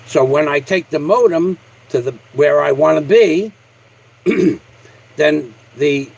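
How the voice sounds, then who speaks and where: A man's voice: fairly high pitch, rough recording. A man live streaming casually to his viewers, talking in a combative, boastful, foul-mouthed way. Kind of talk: casual livestream rant to a viewer.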